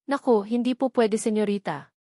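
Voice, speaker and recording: A woman's voice: a little high, normal speed, mellow, no echo, clean studio sound, natural voice. A Filipino woman narrator voicing a very young, shy housemaid in a romance story, deferential, polite and hesitant. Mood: shy, respectful.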